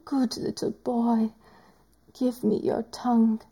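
A woman voicing a teasing, doting woman doctor in an adult audio roleplay, speaking in a slow, whispery, drawn-out way. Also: on the high side, little pitch movement, mellow, breathy edge, rough recording. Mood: sultry teasing.

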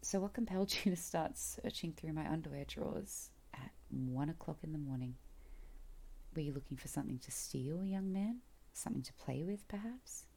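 Woman playing a teasing mother, low voice, gravelly, soft coaxing whispers, close mic. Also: heavily acted. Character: teasing, commanding middle-aged mother, woman. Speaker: voice actor in an audio roleplay. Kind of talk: solo audio roleplay.

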